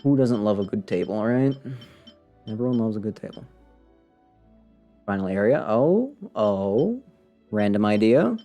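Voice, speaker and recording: A man's voice: lowish voice, sing-song, a little slow, long pauses, dark tone, faint music behind. A man giving casual live commentary as a game streamer, rambling and muttering to himself with playful sarcasm.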